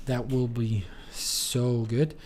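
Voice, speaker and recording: A man's voice: medium pitch, little pitch movement, slow, darkish tone, slight background noise. A man streaming a game, talking casually and rambling, with lots of fillers and the odd swear. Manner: rambling, many fillers, loose and profane. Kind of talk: casual gameplay commentary.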